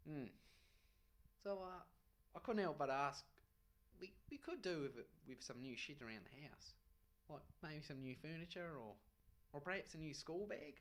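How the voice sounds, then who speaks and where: A man's voice: a little high, expressive, normal speed, long pauses, natural voice. A man voicing a panicky, foul-mouthed young male stoner who swears constantly. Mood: nervous wheedling.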